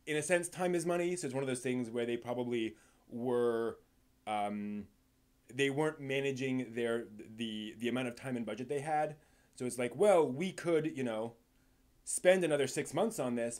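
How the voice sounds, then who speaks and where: Male stream host, medium-pitched voice, casual off-the-cuff commentary, halting and wandering delivery. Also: darkish tone. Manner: halting and rambling, with repeated words and trailing thoughts. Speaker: live stream host and anime reviewer.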